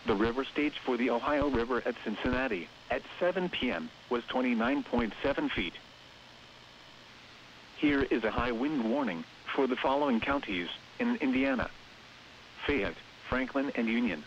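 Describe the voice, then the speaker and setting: Over a dull, band-limited radio signal with steady hiss, a man with a mid-pitched, machine-like voice reads weather bulletins. His phrasing is even and impersonal, in the manner of an automated announcer. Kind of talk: scripted weather bulletin and warning readout. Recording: hissy, dull radio broadcast with noise.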